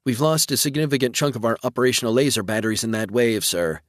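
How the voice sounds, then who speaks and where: A man voicing a confident, businesslike female weapons officer giving brisk tactical reports in an audiobook. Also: medium pitch, no room sound, clean studio sound, voice pushed up, lightly acted. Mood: tense.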